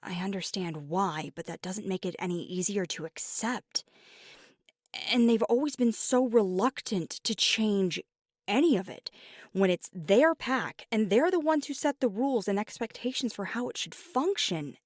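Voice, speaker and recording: A woman's voice: fairly low pitch, fairly even intonation, moderate pace, hardly any pauses, no echo, clean studio sound, close to an everyday voice. A woman voicing a devoted, earnest werewolf girlfriend in an intimate ASMR roleplay, speaking sincerely and a little hesitantly. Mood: passionate, conflicted. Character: devoted, earnest young werewolf girlfriend weighing big news.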